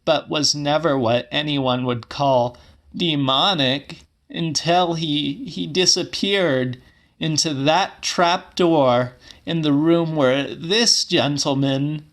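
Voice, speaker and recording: A man voicing a stubborn, scornful old woman in a dramatic audiobook, speaking slowly and emphatically. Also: on the high side, voice pushed up. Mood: scornful, insistent.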